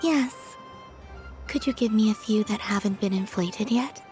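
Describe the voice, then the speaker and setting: A woman playing a serene, playful young moon goddess in a video game, gentle, polite and airy in delivery. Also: mid-range voice, measured pace, natural voice. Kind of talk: soft video game voice acting. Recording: game audio with background music.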